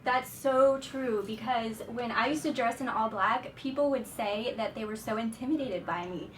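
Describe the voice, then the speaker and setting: A woman with a fairly high voice chats casually and agreeably, affirming often. Her voice comes from some distance in a roomy, echoing space. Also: normal speed, a crowd in the background. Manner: agreeable, frequent affirmations and laughter. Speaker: interviewer, blogger. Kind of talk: casual chat. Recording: echoey room, distant mic.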